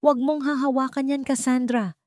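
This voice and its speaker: A woman's voice: high-pitched, flat delivery, fairly quick, a little mellow, dry recording, studio quality, voice pushed up, close to an everyday voice. A woman voicing a proud, cold young single mother in a dramatic love story, stern and defiant. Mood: angry, defiant.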